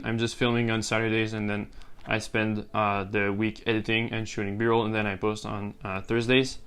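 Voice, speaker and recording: Casting: young man, relaxed vlogger, mid-range voice, faint music underneath. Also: medium pitch, clean tone. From French-speaking Canada. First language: French. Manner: relaxed, rambling with many uh and um fillers. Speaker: YouTuber. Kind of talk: casual talking-to-camera vlog.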